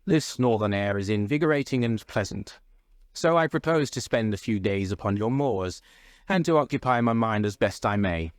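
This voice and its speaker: A man voicing a calm gentleman detective in a classic story, with a bland, polite, precise manner. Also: fairly low pitch, normal speed, no echo, clean studio sound, lightly acted. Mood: bland, assured.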